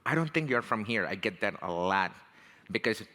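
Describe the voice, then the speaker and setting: A man with an Indian accent speaks in a bright, forceful, somewhat low voice. He talks to the room in a loose, conversational way and keeps asking "right?". The sound has a long hall reverb. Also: expressive, moderate pace. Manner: conversational, frequent "right?" and "you know". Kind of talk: motivational talk.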